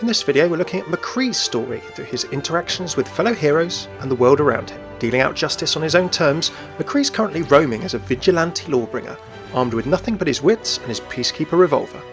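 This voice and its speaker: Man, video essay narrator, scripted delivery, quick pace, slightly high pitch, soft music underneath. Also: animated.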